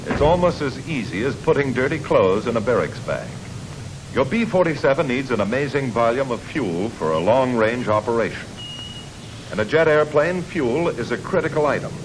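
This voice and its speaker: A man's voice: mid-range voice, animated, moderate pace. An American man reading scripted narration for a 1950s military training film. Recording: noisy 1950s film soundtrack.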